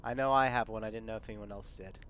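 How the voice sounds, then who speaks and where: A man's voice: lowish voice, fairly even intonation, fast talker, a bit bright. A man playing a tabletop game, speaking casually and politely as he searches for his words. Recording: clearly noisy background.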